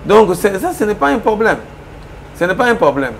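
Emphatic Congolese man, slightly high voice. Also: big pitch swings, moderate pace, vocal fry, a little hiss or hum. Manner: switches between Lingala and French, emphatic and argumentative. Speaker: political commentator. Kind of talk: studio interview. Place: small indoor office room.

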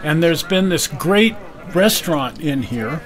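A man's voice: on the high side, expressive, unhurried. A man in his sixties hosting a video, chatting casually and nostalgically, laughing easily, recorded indoors on a camera. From Utah. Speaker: video host. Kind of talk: casual chat.

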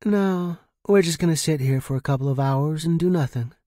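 A man's voice: a little high, fairly quick, warm tone, dry recording, studio quality, pitched above the performer's own voice, lightly acted. A man voicing a coolly menacing young woman smuggler in an audio story, with casual, matter-of-fact delivery. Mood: calm, threatening. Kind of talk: audio story character reading.

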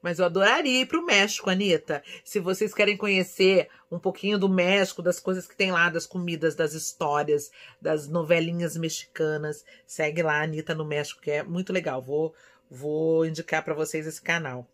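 A woman in her forties from São Paulo with a Brazilian accent, chatting casually and affectionately as she answers viewers' questions in an expressive, conversational way. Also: low-pitched, normal speed. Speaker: vlogger. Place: small indoor room at home.

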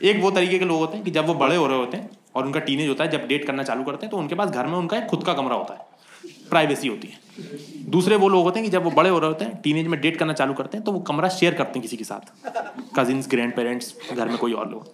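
A young man from India performing a stand-up comedy set on a club stage, telling stories in an animated, mock-exasperated way into a handheld mic. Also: on the high side, quick pace. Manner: animated, conversational storytelling with mock-exasperated asides. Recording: roomy club sound with loud crowd laughter.

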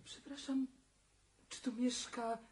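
A woman's voice: fairly high pitch, little pitch movement, slow pace, noisy background. An actress playing a fretful, respectable mother, anxious and reproachful, in a radio drama. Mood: hesitant, probing.

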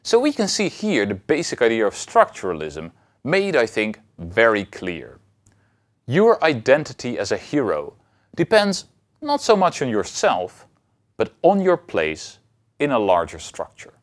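Male lecturer, thirties, highly animated melody, deliberate emphatic phrasing, explanatory delivery. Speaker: university philosophy lecturer.

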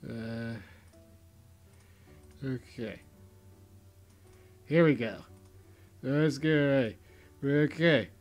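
A young man talks slowly over his screen recording, drawling through loose, joking gameplay commentary. He rambles and brags with mock pride at a relaxed pace, his voice sitting in a middle pitch. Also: faint music behind. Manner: rambling, mock-boastful, self-mocking. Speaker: YouTuber.

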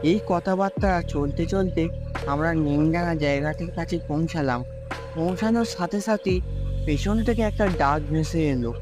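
Casting: male actor as timid village youth and narrator for horror cartoon, high-pitched, music clearly behind. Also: moderate pace, lightly acted. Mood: suspenseful telling. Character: timid, worried young village man telling his tale. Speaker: narrator and character. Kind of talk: cartoon ghost-story narration and acting.

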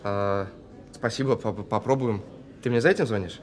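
A man's voice: lowish voice, moderate pace, close to an everyday voice. A man as a hesitant young musician. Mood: guarded, puzzled. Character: guarded young musician son taking an unexpected call. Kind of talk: audio drama acting.

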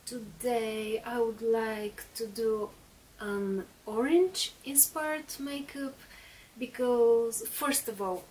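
A woman's voice: on the high side, steady tone, slow pace, a little mellow, distant mic, a little hiss or hum, poor audio. A woman in her thirties, a native Bulgarian speaker, chatting through a makeup tutorial in a small bedroom with lots of fillers and restarts. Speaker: beauty vlogger.

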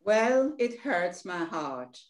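Inquisitive, indignant little sister, Caribbean older woman reader; lively intonation, stage-play reading. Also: mid-range voice, slow pace. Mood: troubled, questioning. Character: inquisitive, indignant, tender-hearted younger sister in Barbados. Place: indoor room at home, on video call. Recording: roomy video call sound.

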